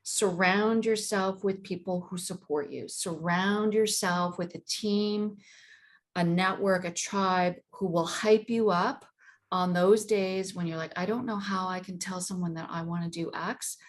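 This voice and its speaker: A woman's voice: lowish voice, steady tone, unhurried. A woman speaking as a panelist on a video call, giving emphatic and encouraging career advice. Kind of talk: panel discussion.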